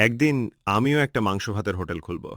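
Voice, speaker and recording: Cartoon village boy, played by an adult man, poor but earnest and respectful, expressive pitch, music underneath. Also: quick pace, lightly acted. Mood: determined. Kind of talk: cartoon story dialogue.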